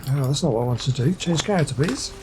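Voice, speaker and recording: A man commentates casually on a game he is playing. His medium-pitched voice often drops into muttering to himself. Faint background noise and game ambience sit behind him. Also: lively intonation, fast. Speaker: gaming video host.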